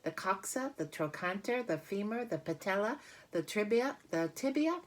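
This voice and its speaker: Storybook spider mentor, female, patient, matter-of-fact, lively pitch movement; woman reader. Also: normal speed, natural voice.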